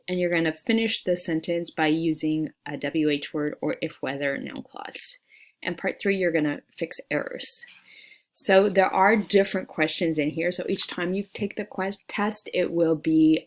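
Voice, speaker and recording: A woman teacher talking through class material in a friendly, conversational way, as in an informal screencast walkthrough. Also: low voice. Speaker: English teacher. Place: screen-recorded voice-over for an online class.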